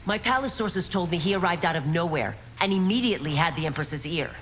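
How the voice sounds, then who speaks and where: A woman's voice: on the low side, expressive, quick pace, fairly bright tone, a little hiss or hum. A woman voicing a resolute female constable and former royal guard who speaks earnestly. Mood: determined. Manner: earnest, determined. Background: music.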